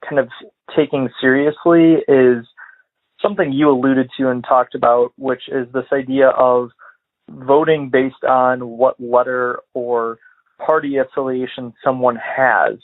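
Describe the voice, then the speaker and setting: A man from Illinois leaving a voicemail for a podcast, talking in a measured, hesitant way with lots of fillers. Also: mid-range voice. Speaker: caller. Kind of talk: voicemail commentary. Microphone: phone. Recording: tinny phone-line voicemail.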